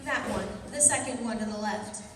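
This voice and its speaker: A woman's voice: mid-range voice, normal speed, background noise, lightly acted. A woman playing a coaxing, adoring crook's accomplice, sweet-talking in an old-time radio crime drama. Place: live stage performance in a hall. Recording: very echoey hall, distant mic.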